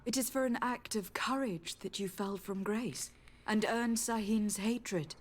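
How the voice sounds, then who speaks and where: A woman's voice: medium pitch, measured pace, slight background noise, close to an everyday voice. An actress playing a principled noblewoman envoy in a fantasy video game, questioning and reproaching with formal composure. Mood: reproachful, questioning.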